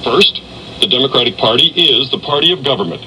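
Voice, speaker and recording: A man with a mid-pitched, very bright and forceful voice reads scripted political commentary. There is faint noise on the AM radio sound. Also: expressive, a little fast, rough recording.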